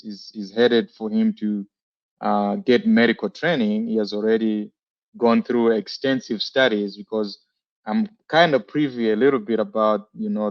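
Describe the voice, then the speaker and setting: Man, podcast host, rambling questions, lots of fillers, muffled call sound. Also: lowish voice. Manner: warm-spoken, rambling, many fillers. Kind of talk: interview questions. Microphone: video call.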